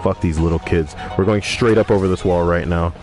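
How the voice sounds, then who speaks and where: A man's voice: deep voice, steady tone, a little fast. A young man streaming a game, reading viewer messages aloud and joking with crude, profane banter. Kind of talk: casual gaming livestream chat. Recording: music playing behind voice.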